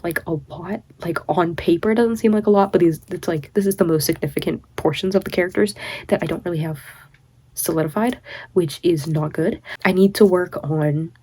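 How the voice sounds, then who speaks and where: A woman's voice: on the low side, mellow, slightly rough, no room sound. A tired young woman recovering from a cold, rambling casually about her writing on a late-night vlog. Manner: rambling, self-deprecating, many "like"s. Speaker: writing vlogger.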